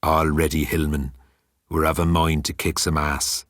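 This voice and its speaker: Man playing an earnest, low-voiced deputy. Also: level tone, normal speed, fairly bright tone, no echo, clean studio sound. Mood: resolute. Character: jowly, earnest male second in command.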